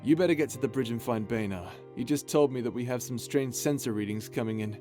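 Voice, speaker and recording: A male actor plays a steady, caring space pirate captain in an audio roleplay. He talks casually, with wry teasing and reassurance, at a medium pitch. Music plays clearly underneath his voice. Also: quick pace, close to an everyday voice. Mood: brisk, worried. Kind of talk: ASMR audio drama roleplay.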